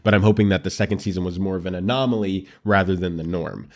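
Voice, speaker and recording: A man's voice: deep voice, fairly even intonation, fast talker. A man hosting a casual anime commentary show, congested and nasal from allergies, with a dry throat, chatting straight to his viewers. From United States.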